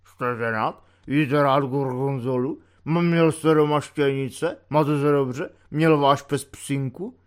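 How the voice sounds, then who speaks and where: A man's voice: medium pitch, steady tone, clear, resonant tone, lightly acted. A man reading an audiobook voices a drunk, maudlin middle-aged army chaplain who rambles, whines and pleads. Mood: tearful chatter. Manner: slurred, whining, rambling drunk speech. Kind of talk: audiobook character voice.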